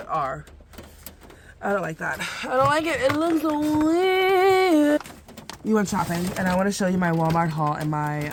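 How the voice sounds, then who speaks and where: A woman's voice: lowish voice, a little hiss or hum. Stuffy-nosed woman chatting in her van. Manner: chatty, rambling, frequent fillers and restarts. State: stuffy nose from sinus pressure. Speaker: van life vlogger. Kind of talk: casual vlog chat to camera.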